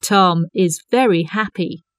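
A woman reading an English textbook lesson aloud, slowly and deliberately for learners. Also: lowish voice, no echo, studio quality. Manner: clear, deliberate, lively intonation. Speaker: textbook audio reader. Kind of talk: slow scripted reading for learners.

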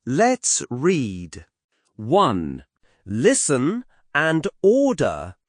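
A woman narrating English textbook audio, announcing unit titles, numbers and instructions slowly and clearly. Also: very deep voice, wildly swooping pitch, no room sound, clean studio sound. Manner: slow, clearly enunciated announcing.

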